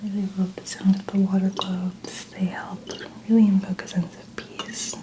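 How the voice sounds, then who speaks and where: A woman in a soft-spoken ASMR skincare roleplay, gently narrating each step in a breathy, often whispered voice. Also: medium pitch, little pitch movement, normal speed. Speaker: ASMR host playing a skincare practitioner. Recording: noticeable ambient background noise.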